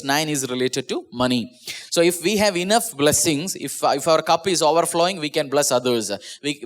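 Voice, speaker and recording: Higher-pitched Indian English pastor preaching. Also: male voice, fairly bright tone. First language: Malayalam. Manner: earnest, repeats and restarts words, drops pitch at phrase ends. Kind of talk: online sermon. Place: small church.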